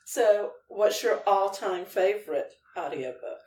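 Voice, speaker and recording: A woman's voice: mid-range voice, expressive, a little slow, a little mellow. A woman interviewing conversationally on a podcast, with short questions and prompts. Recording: echoey, distant mic, faint noise.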